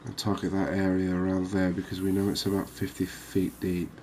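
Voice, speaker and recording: A man giving quiet, cozy ASMR commentary on a game, unhurried and thinking aloud. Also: deep voice, completely flat delivery, slight background noise. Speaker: gaming video host.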